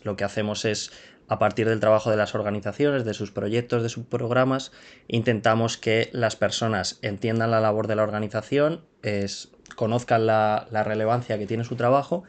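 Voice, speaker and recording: Hesitant low-voiced man from Madrid. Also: steady tone, normal speed. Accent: Madrid Spanish. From Madrid, Spain. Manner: hesitant, many fillers and restarts, thoughtful. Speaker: guest, copywriter. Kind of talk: casual interview answers.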